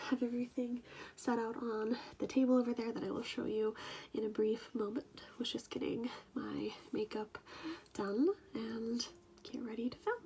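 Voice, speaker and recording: A woman vlogger chatting casually behind the scenes, soft-spoken and often breathy, nearly whispering. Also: a little high, a little hiss or hum. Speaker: ASMR video creator and vlogger.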